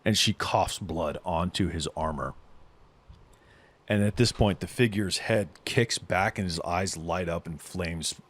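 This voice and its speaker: A man's voice: deep voice, unhurried. A man running a tabletop game, improvising dramatic, descriptive narration as game master.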